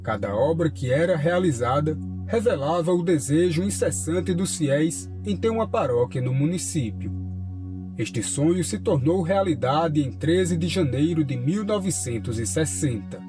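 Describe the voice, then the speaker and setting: A man narrates a documentary in a measured, formal voice pitched slightly higher than most men. A clear guitar track runs beneath his words. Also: animated. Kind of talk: scripted narration. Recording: voice-over with guitar music behind.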